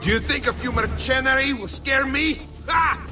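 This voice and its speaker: An actor playing an arrogant, bloodthirsty Templar villain in a video game, theatrical and sneering. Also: male voice, very high voice, normal speed, over music. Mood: gloating fury. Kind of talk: video game cutscene acting.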